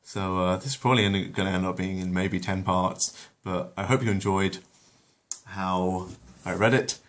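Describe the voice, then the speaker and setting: A man narrating a retold cartoon episode like a bedtime story, then chatting with hesitant pauses. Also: deep voice, level tone, fairly bright tone. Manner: hesitant, with many pauses when off-script. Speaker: storyteller, channel host. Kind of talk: reading a retold episode aloud, then casual chat.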